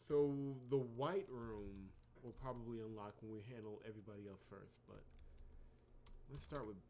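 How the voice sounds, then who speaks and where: A man's voice: fairly low pitch, moderate pace, dark tone, slight background noise. A man doing a video game playthrough, commenting casually as he plays. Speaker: let's player. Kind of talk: game playthrough, reading dialogue aloud and commenting.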